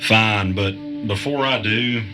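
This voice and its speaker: A man's voice: on the low side, slow, over music. An actor playing a suspicious, stubborn man under stress in a naturalistic audio drama. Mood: weary, determined.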